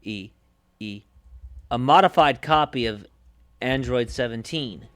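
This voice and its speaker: A man's voice: medium pitch, unhurried, a bit bright. A man livestreaming as a game master, reading out story summaries and chatting, often repeating and correcting his words. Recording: music playing behind the voice. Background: background music.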